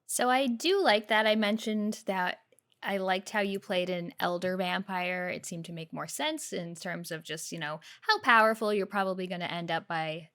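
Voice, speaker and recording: A woman's voice: mid-range voice, moderate pace, a bit bright. A woman giving casual, off-the-cuff commentary as the host of a video game reaction.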